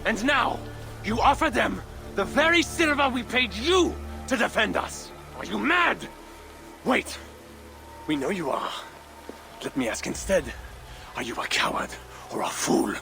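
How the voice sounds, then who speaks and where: A bitter, defiant nobleman in a game drama. His very high male voice swings widely with accusation and cracks into creak, over prominent music. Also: very animated, measured pace, long pauses, sharp tone, exaggerated voice. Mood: bitter, accusing. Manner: impassioned, accusatory. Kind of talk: dramatic video game cutscene acting.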